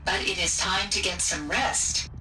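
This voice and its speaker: A woman voicing a calm robotic mother who looks after children in a game, speaking in a measured, patient, formal way. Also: medium pitch, lightly acted. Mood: patient, soothing. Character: calm robotic mother, a nursery caretaker machine. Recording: echoey, distant, faint music behind.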